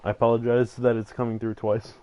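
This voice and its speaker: A man's voice: mid-range voice, level tone, fairly quick, dark tone, dry recording, dull audio. A man streaming a game with friends, joking loosely and swearing casually. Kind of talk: casual gaming stream chat.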